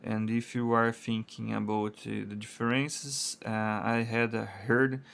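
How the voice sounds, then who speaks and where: Brazilian man, slow and gravelly. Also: fairly low pitch, level tone, a bit bright. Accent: Brazilian Portuguese. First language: Portuguese. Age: adult, married. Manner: halting non-native English with many uh fillers. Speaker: product reviewer. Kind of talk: casual product review.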